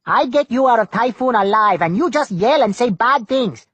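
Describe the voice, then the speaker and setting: A reader voicing a hot-tempered male boat navigator who speaks broken English and yells in sharp bursts. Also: medium pitch, lively intonation, normal speed, husky, no echo, muffled recording, voice pushed up. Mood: indignant.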